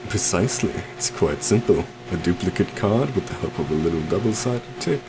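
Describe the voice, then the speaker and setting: A suave, possessive stage magician acted by a man in an ASMR roleplay, speaking very low with lively, swooping intonation. He is courtly and theatrical, sweetly menacing as he coaxes, over a clear steady background hum. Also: a little fast. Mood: smug, coaxing. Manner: theatrical, courtly, soft coaxing with terms of endearment.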